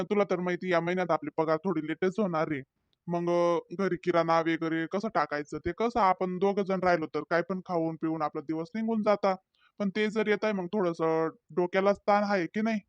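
Man voicing a teasing young cartoon husband, higher pitch. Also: fairly even intonation, a little fast, clear, resonant tone, no room sound, highs cut off, close to an everyday voice. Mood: easygoing. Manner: talkative, wheedling, hesitant fillers. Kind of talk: comedy cartoon voice acting.

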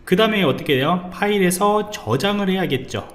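A man teaching a programming lesson over a screen recording, explaining step by step and often pausing with fillers. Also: high-pitched, animated, fairly bright tone. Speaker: programming instructor. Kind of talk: screencast coding tutorial.

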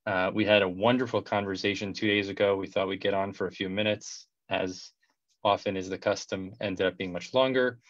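A man's voice: sits low, fairly even intonation, dry recording. Effusive male host on a dull video call. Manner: warm, effusive, halting with repeated words. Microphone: headset.

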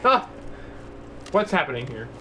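A man's voice: on the high side, very animated, a little slow, pushed and bright. A man hosting a casual video game playthrough, chatting in jokey, silly slang and mild mock insults over game ambience. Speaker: gaming channel host, player. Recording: noisy, game sound behind voice. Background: horror video game ambience.

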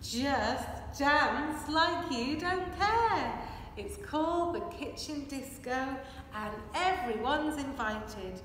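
A woman reads a children's picture book in a high voice, very slowly and with a rhythmic, expressive delivery. She is recorded at a distance in a very echoey hall. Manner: expressive, measured rhythmic delivery while signing. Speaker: children's storyteller. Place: large hard-walled hall with brick and plaster. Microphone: camera a few metres away. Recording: echoey hall, distant microphone, faint noise.

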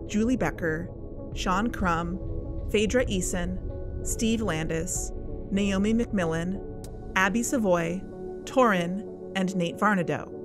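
Woman acting serious suspicious amateur lady sleuth on a podcast drama, medium pitch, faint music. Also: close to an everyday voice. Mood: informative. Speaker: podcast host. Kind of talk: scripted audio drama podcast.

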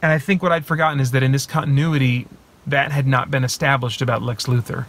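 A man in his thirties talking casually to a phone camera from inside his parked car, thinking aloud with many pauses and restarts. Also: medium pitch, steady tone, fairly bright tone. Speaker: movie reviewer and channel host. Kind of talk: casual spoiler talk to camera. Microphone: phone camera at arm's length.

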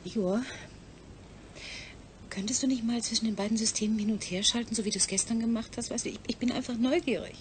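An actress plays a caring female visitor in a radio play. Her voice is fairly high, familiar and gentle, with a slightly flustered, hesitant curiosity. There is faint noise behind her. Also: steady tone, quick pace, lightly acted. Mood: curious, concerned. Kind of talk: German science-fiction radio drama acting.

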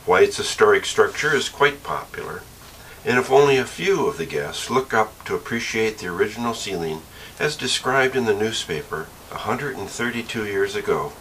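An older man with a low-pitched, bright and forceful voice reads from his book. His phrasing is measured and deliberate. He sounds set back from the microphone, with some hiss. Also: expressive. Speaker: author reading from his book. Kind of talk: scripted narration, reading aloud. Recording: slightly distant mic with faint hiss.

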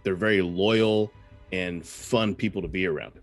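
A man in his thirties hosting a casual interview over a headset, upbeat and quick to agree. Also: deep voice, soft background music. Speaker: podcast host.

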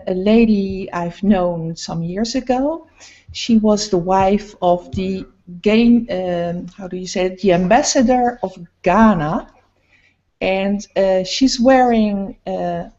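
A middle-aged woman painter speaking slowly and hesitantly about her paintings, with many pauses, on a video call. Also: mid-range voice, a little mellow. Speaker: painter guest. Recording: muffled video call audio.

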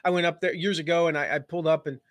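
A man's voice: fairly high pitch, fairly even intonation, racing, a bit bright, no echo, clean studio sound. A man giving a casual live-stream business lesson, rambling and joking with lots of fillers and restarts. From Southern United States. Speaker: online business coach.

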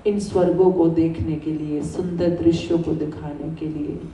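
A woman with an Indian accent leading a guided gratitude meditation, speaking soothing affirmations in deliberate, repeated phrases with pauses. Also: sits low, fairly even intonation, warm tone. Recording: roomy echo, distant mic, faint noise.

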